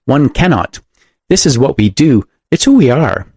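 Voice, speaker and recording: A man's voice: on the low side, moderate pace, darkish tone, dry recording, studio quality, natural voice. A man voicing a pompous, zealous doctor who makes grand, uplifting speeches in an audiobook. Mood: uplifting, smug. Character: pompous, zealous male doctor lecturing on bold experiments. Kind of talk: audiobook character voice.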